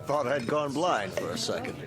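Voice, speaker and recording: A man's voice: mid-range voice, animated, moderate pace, loud music behind the voice, natural voice. An actor playing a sociable, insistent male passenger with a jovial, chatty, folksy manner. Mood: friendly.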